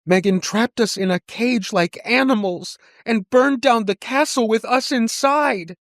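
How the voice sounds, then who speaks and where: A man's voice: very high voice, measured pace, a bit bright, no room sound, clean studio sound, pitched above the performer's own voice. A man voicing a frightened little girl in an audiobook story, hesitant and earnest in her distress. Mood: frantic, booming. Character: frightened little girl, tearfully recounting a nightmare.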